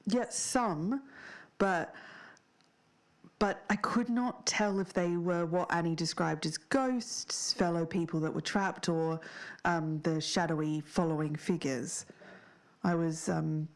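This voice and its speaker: Woman acting a slow, creaky-voiced shaken witness. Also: fairly low pitch. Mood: haunted, earnest. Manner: halting, searching for words. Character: shaken, earnest woman recounting a strange otherworldly town. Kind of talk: audio drama interview, naturalistic podcast acting.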